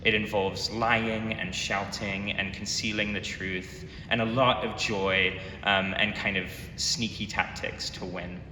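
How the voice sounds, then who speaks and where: A young man from London giving an earnest, reflective artist talk in a museum gallery, with frequent pauses and fillers. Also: level tone, pushed and bright. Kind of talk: presentation talk. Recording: echoey hall, mic far off, noisy rumble.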